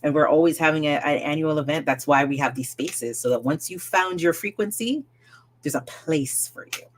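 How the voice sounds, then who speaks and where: A woman storyteller speaking as an interview guest, in an animated, expressive way. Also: deep voice, normal speed, slight background noise. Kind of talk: casual interview talk.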